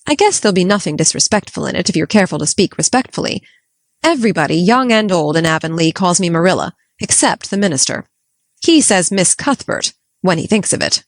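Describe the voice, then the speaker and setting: A woman's voice: lowish voice, animated, a little fast, no echo, natural voice. A woman putting on a stern, dry-voiced spinster. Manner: clipped, disapproving and no-nonsense. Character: stern, strict middle-aged spinster woman. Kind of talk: audiobook character voice.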